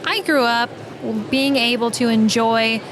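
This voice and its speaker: A woman chatting casually as a podcast guest, playful and informal as she talks. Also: measured pace. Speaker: podcast guest, audio drama writer and narrator. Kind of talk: casual interview chat. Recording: noisy live event, vehicle-like rumble.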